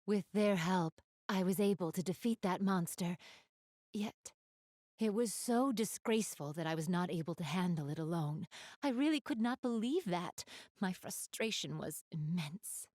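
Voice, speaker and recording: A woman's voice: fairly low pitch, lively intonation, normal speed, a little mellow, no room sound, studio quality. A woman playing a gracious, refined young goddess in a video game, speaking to the player in polite, elegant, formal phrasing. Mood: earnest recounting.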